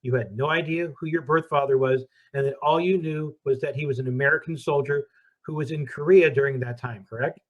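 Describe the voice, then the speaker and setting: A man's voice: on the high side, steady tone, brisk, lo-fi sound. Upbeat man hosting an interview. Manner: upbeat, conversational questioning. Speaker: host, founder of a search service.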